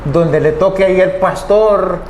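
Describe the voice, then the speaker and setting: Halting Salvadoran radio host with a higher voice. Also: male voice, darkish tone, reverberant, a little hiss or hum. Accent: Salvadoran Spanish. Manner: halting, frequent restarts, backchannels "ujú". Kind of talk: radio interview hosting.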